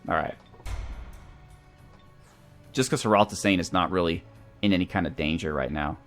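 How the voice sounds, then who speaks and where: A man's voice: low voice, moderate pace, a bit bright. A man hosting a video game playthrough, talking casually and thinking aloud as he weighs his options. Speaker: host of a gaming series. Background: background music.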